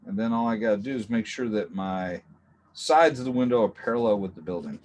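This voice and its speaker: A man teaching a drawing class in a relaxed, chatty way, talking through each step and adding jokey asides. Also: fairly low pitch, brisk, slight background noise. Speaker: art teacher. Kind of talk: casual drawing lesson.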